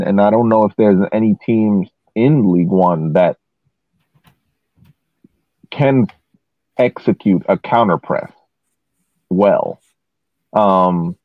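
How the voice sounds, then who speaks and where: A man's voice: lowish voice, no echo. A man co-hosting a soccer podcast, giving casual tactical analysis over a narrow phone-like connection.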